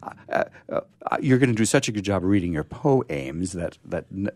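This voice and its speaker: A man's voice: low voice, lively intonation, darkish tone. A man hosting a radio show in casual, unscripted talk, stammering and restarting often, with a sore throat. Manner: halting, often stammering and restarting mid-phrase.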